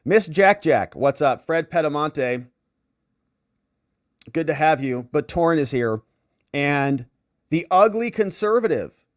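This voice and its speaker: A man hosting a live commentary stream, reading out viewer messages and thanking supporters in a chummy, effusive way with theatrical, drawn-out emphasis. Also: fairly high pitch, no echo, studio quality. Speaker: host of a live political and legal commentary stream. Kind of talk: casual livestream sign-off, reading viewer messages and shout-outs.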